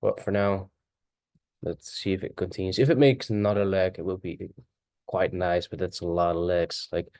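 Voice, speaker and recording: A man whose first language is Dutch, casually thinking aloud through a chart walkthrough, halting and correcting himself as he goes. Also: low-pitched, steady tone, darkish tone, no echo, studio quality. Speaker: forex trader.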